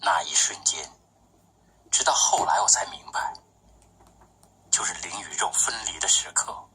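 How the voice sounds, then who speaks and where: A man reading his own poetry as a literary recitation, with measured, deliberate phrasing. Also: deep voice, lively intonation, bright, forceful tone, husky, whispered, low-quality recording.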